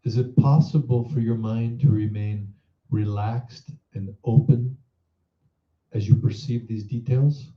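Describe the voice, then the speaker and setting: A man leading a guided meditation as a teacher, in a measured, instructive manner, posing reflective questions. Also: medium pitch, steady tone. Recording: roomy echo, dull muffled sound.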